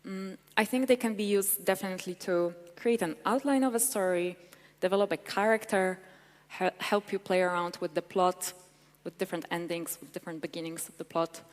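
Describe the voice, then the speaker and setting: A woman's voice: fairly low pitch, steady tone, a little fast. Eager woman in a hall. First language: Polish. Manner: eager, chatty presenting with fillers. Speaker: conference speaker. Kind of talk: tech conference talk and Q&A. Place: large conference hall. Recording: reverberant conference hall.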